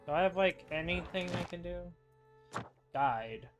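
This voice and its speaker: A young man with a fairly high voice talks casually while playing a video game. He mutters to himself and often leaves thoughts half finished. Music plays clearly behind him. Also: animated, measured pace. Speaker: gamer playing on a stream or recording. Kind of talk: casual gameplay commentary.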